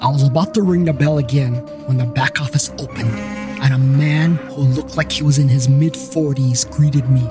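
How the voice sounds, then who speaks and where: A man's voice: medium pitch, fairly even intonation, moderate pace, over music. A man narrating a scripted first-person story as the narrator of a fiction podcast.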